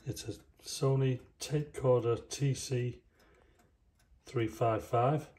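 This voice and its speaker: A man giving casual, hands-on commentary in short, unhurried remarks as he works on equipment. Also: medium pitch, steady tone, darkish tone. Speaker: host of a hands-on vintage electronics video. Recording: clear background noise with clicking.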